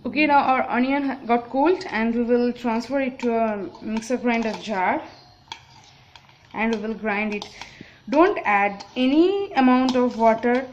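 Slow, high-voiced woman teaching a recipe. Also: dull audio. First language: Bengali. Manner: calm, instructive, many "okay, so" fillers. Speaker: cooking video host. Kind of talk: step-by-step cooking tutorial. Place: home kitchen at a gas stove. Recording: home recording with clear kitchen noise. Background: clinking pots, pans and cutlery.

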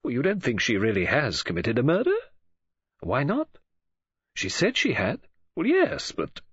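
A man voicing an excitable, chatty, fussy middle-aged woman in an audiobook, gushing and exclaiming with swooping emphasis. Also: medium pitch, moderate pace, husky, no room sound, lo-fi sound, pitched above the performer's own voice, exaggerated voice. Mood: soothing, doubtful.

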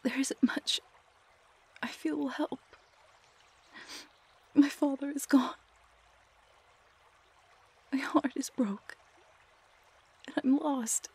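A woman playing a heartbroken young woman lost in a fairy-tale forest, in an emotional audio roleplay, halting and often dropping to a whisper. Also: high-pitched, fairly even intonation, a little mellow, exaggerated voice. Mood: sorrowful, lost.

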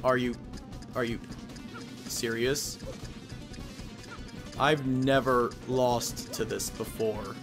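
A man hosting a let's play, giving chatty, self-mocking commentary with quick asides. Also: medium pitch, fairly even intonation, over music. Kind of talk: casual game commentary.

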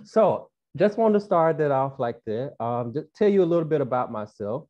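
A man's voice: on the high side, sing-song, moderate pace, dark tone, highs cut off. A young man from Mississippi with a Southern accent, speaking earnestly as a panel guest on a video call, with many "uh" and "um" fillers. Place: small room indoors. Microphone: earbuds on a video call.

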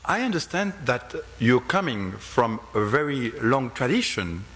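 Brief: French-accented male host, mid pitch, effusive and stammering, conference stage interviewer. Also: very animated, a little slow, fairly bright tone, a little hiss or hum. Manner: effusive, stammering with repeated words. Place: conference hall.

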